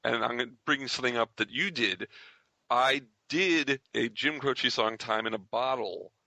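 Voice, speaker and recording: Male podcast interviewer, forceful tone with heavy fry, informal, close dry sound. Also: medium pitch, normal speed, no room sound, low-quality recording. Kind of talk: casual interview chat.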